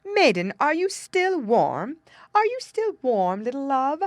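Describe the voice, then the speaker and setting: A woman's voice: high-pitched, sing-song, slow pace, no room sound, voice pushed up, heavily acted. A woman voicing an imperious male winter spirit from a fairy tale, snappish and taunting. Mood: insistent, taunting.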